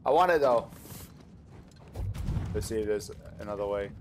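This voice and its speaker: A man's voice: lowish voice, expressive, moderate pace. A man giving casual, playful, slangy commentary while he plays a video game. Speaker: gaming content creator. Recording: game noise behind the voice. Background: video game sounds.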